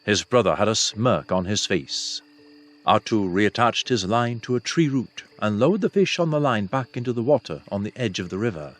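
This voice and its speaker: A man's voice: on the low side, lively intonation, moderate pace. A man reading a fantasy novel aloud as scripted audiobook narration. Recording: soft music behind the voice.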